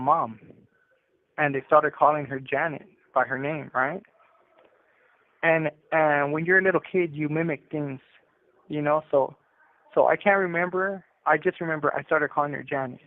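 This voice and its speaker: A man's voice: on the high side, no room sound. A man on a prison phone call, reflecting on his life in an earnest, conversational storytelling way. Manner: earnest, conversational, often tagging with "you know" and "right". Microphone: telephone handset. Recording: tinny narrow phone line.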